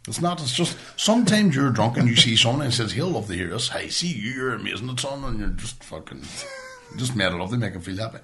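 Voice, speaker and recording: A man's voice: mid-range voice, big pitch swings, quick pace, bright, forceful tone, a little hiss or hum. A man in his forties with a Northern Irish accent, chatting casually and telling stories in sweary, colloquial talk. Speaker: podcast host. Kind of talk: casual chat.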